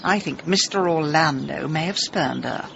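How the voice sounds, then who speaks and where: An actress playing a brash, scheming middle-aged British amateur sleuth with a wry, tart manner in a radio comedy-drama. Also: female voice, low voice, moderate pace, vocal fry, fairly bright tone, dull audio, slight background noise. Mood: wry, determined.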